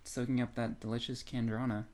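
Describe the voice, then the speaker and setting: A man with an average-pitched voice talks as a podcast co-host. He is informal and a bit geeky, rambling through his thoughts on books as in a casual chat. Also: flat delivery, a little fast, over loud music. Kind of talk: casual book discussion chat.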